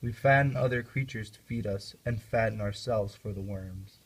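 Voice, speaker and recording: A man's voice: lowish voice, moderate pace, low-quality recording. A man playing a brooding, accusing, half-mad young prince in an amateur puppet-show play. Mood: mocking.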